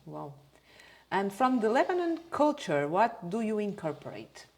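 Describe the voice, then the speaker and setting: A woman's voice: mid-range voice, big pitch swings, measured pace. A woman hosting an interview in English, which is not her first language, speaking enthusiastically with small grammar slips. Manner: warm, enthusiastic, non-native grammar slips. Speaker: podcast host. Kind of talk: casual interview.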